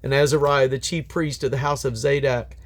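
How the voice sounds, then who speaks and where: A man's voice: medium pitch, steady tone, brisk. A man reading Bible scripture aloud in a solemn, declamatory manner, letting his phrases fall away at the end. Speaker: Bible reader.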